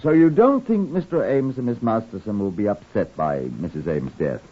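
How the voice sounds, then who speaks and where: An actor playing a brisk, authoritative police superintendent asking clipped questions in an old radio drama, with a husky voice. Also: male voice, fairly low pitch, lively intonation. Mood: probing, casual. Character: brisk, probing Scotland Yard chief superintendent. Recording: muffled old radio recording.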